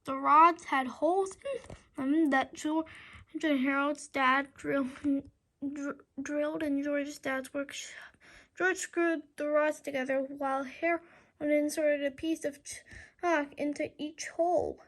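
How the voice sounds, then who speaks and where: Very high-voiced, slow woman reading aloud. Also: fairly even intonation, fairly bright tone, poor audio. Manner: stumbles over words and rereads. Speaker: storybook reader. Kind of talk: children's book read aloud.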